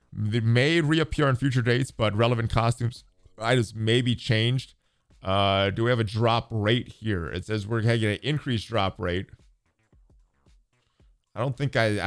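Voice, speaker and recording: A man's voice: medium pitch, clear, resonant tone, no echo, clean studio sound. A man hosting a gaming channel, giving casual running commentary on a game update.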